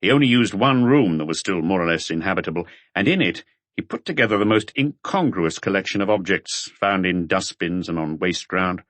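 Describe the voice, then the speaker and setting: A man voicing a brooding middle-aged police detective in an audiobook, measured and matter-of-fact. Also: sits low, a bit bright, no echo.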